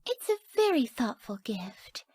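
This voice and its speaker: Sweet sleepy game girlfriend, young woman, high airy voice, unhurried, naive, gentle, scatterbrained. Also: very animated, no room sound, heavily acted. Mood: happy, affectionate. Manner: soft, airy, gentle, often dropping to breathy near-whispers. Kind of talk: video game character voice lines.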